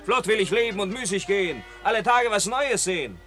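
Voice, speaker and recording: A man's voice: high-pitched, lively intonation, fairly quick, a bit bright, soft background music. A man in a 1950s radio play acting a carefree, swaggering rifleman who declaims boastful, mocking rhymed verse. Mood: boastful, defiant.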